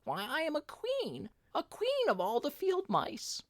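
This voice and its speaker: A storybook reader voicing a tiny, proud mouse queen in a squeaky, high voice with a haughty manner. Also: huge pitch swings, unhurried, no room sound, heavily acted. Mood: indignant. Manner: indignant, haughty. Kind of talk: storybook reading.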